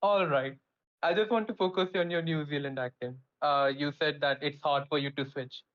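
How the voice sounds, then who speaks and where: Man, higher pitch, incredulous and challenging, muffled lo-fi mic. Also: fairly even intonation. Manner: incredulous, challenging, drawn-out questions. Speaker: debate participant. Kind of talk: online voice chat argument.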